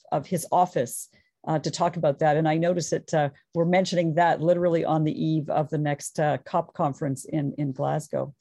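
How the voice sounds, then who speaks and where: A woman's voice: deep voice, warm tone, no echo. A woman scholar giving a conference presentation, earnest and enthusiastic, thinking aloud as she goes. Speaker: academic panelist.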